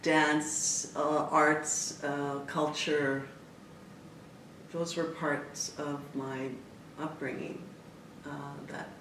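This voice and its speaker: Expressive woman in an echoey room. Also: low voice, extremely slow, recorded from a distance. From Canada. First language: Italian. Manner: expressive, animated, many restarts and fillers. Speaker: interviewee, dancer and choreographer. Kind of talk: reflective interview talk. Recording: echoey room, speaker away from mic, faint noise.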